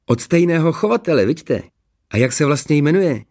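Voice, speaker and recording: A man voicing an excitable, gushing woman neighbour in a story, full of enthusiasm. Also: a little high, voice pushed up. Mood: delighted.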